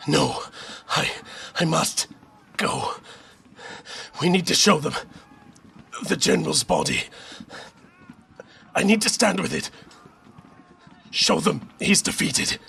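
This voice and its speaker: An actor playing a resolute, weary army captain in an audio drama, speaking in an earnest, halting, strained way. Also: male voice, a little high, lively intonation, gravelly, pushed and bright, slight background noise, exaggerated voice. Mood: strained, determined.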